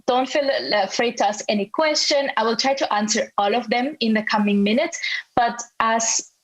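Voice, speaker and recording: A woman's voice: fairly high pitch, normal speed, rough recording. A young woman from Austria giving an online webinar presentation in a friendly way, with many ums and restarts, over a video call.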